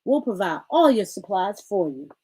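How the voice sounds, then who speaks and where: A stern, impatient lady examiner from a storybook, voiced by a woman reader. She is curt and in charge, with a mellow timbre and strongly varied pitch. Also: big pitch swings, moderate pace, husky. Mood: firm, businesslike.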